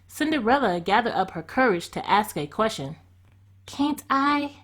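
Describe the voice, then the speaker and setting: A woman storyteller narrating a children's storybook in an expressive read-aloud style. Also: medium pitch, fairly quick.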